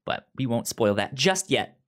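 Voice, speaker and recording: Halting, casual male podcast host. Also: mid-range voice, sing-song. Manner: halting, repeats and stumbles over words.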